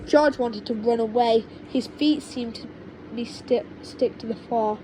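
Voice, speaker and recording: Slow, high-voiced woman reading a story. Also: mellow. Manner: halting reading with repeated words. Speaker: amateur audiobook reader. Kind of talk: audiobook storytelling narration, informal asides. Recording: noisy low-quality home microphone.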